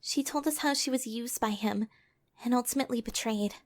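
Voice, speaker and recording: A woman's voice: fairly high pitch, fairly quick, close to an everyday voice. A woman playing a young, wounded heroine who narrates her own past in an audio drama, earnest and solemn. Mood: somber, reflective. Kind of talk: scripted first-person audio drama narration.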